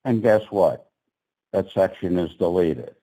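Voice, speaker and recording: A man with a very deep, mellow voice speaks at a public meeting. He is blunt and openly sarcastic about the agency. His pitch sits low throughout. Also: measured pace, rough recording. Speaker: petitioner at regulatory meeting.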